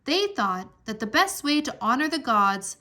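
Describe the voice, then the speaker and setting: A woman reading a scripted story aloud for children in an expressive, deliberate storytelling manner. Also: sits high. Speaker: storybook narrator, English teacher.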